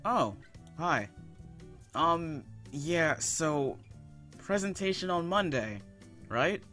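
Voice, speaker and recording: A man voicing an awkward, excitable and cocky teenage slacker hero in a comic dub. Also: on the high side, extremely slow, faint music behind, heavily acted. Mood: awkward, casual.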